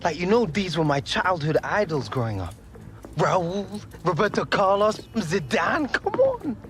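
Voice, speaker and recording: A young British man playing an earnest, excitable eighteen-year-old footballer in a video game cutscene. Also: sits high, unhurried, full character voice. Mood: excited disbelief. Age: young adult. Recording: music behind the voice.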